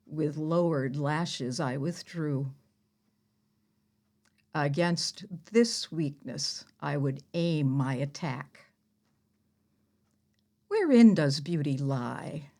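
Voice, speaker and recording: A woman playing a proud biblical heroine in an audio play, telling her tale in a measured, mocking manner. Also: deep voice, darkish tone, full character voice. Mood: wry. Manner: measured, mocking, deliberate storytelling. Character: proud, sardonic biblical heroine who slew an enemy general. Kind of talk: theatrical audio-play monologue.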